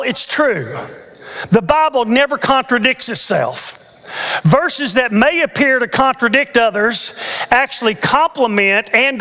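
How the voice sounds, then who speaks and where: A man's voice: extremely high pitch, bright, forceful tone. An older man preaching a teaching sermon from a church stage on a headset mic, earnest with playful asides. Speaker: pastor. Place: large church stage with audience. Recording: long reverb of a large church hall.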